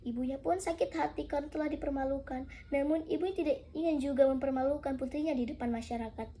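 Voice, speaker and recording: A young woman narrating a folktale as a contestant, in a deliberate, theatrical way. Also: high-pitched, mellow. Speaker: storytelling contestant.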